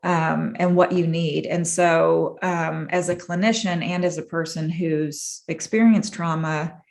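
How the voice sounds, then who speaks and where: A woman with a lowish voice, a clinician telling her own history in an interview. She talks in an earnest, measured way and pauses to reflect as she goes. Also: steady tone, creaky. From Massachusetts, USA. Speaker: guest, clinician. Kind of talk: personal storytelling in an interview.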